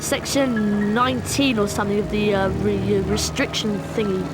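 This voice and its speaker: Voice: medium pitch, little pitch movement, normal speed, natural voice. An actor playing a cocky, grumbling young boy wizard with an English accent in a video game, blunt and informal. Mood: defensive. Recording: music loud behind the voice.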